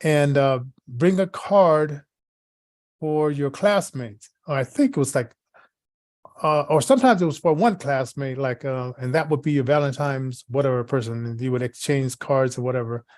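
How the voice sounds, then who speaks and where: A man co-hosting in casual, rambling chat, halting as he searches for words and repeats himself. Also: medium pitch, a little mellow, dry recording. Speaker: podcast co-host.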